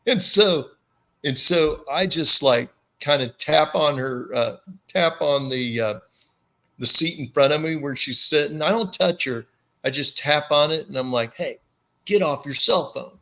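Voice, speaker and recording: Bright, animated male podcast host. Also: measured pace, rough recording. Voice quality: low commanding voice. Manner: animated storyteller, acts out voices. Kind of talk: casual storytelling chat.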